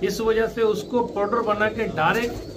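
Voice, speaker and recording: A man's voice: sits high, steady tone, normal speed, background noise. A man with a Pakistani Urdu accent, a pigeon keeper giving plain, instructive advice in a casual interview, often repeating his points.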